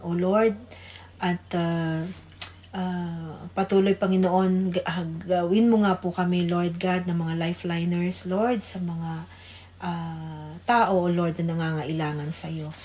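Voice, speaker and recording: A woman's voice: fairly low pitch, a little mellow. A woman with a Filipino accent co-hosting a devotional radio program, reading scripture and praying aloud. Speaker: co-host, pastor's wife leading scripture and prayer. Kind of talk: scripture reading and extempore prayer.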